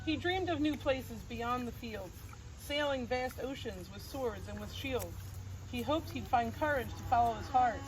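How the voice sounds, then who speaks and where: A woman reading a rhyming picture book aloud in a gentle sing-song cadence, recorded outdoors on a farm. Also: on the high side, lively intonation, unhurried. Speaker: storybook reader. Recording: noisy outdoor recording with crickets.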